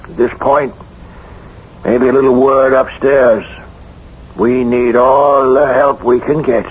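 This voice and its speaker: An actor playing a shrewd, steady American small-town sheriff in a radio mystery, folksy and deliberate. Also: male voice, mid-range voice, slightly rough. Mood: grimly businesslike. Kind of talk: radio mystery drama acting. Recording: dull, noisy old radio broadcast.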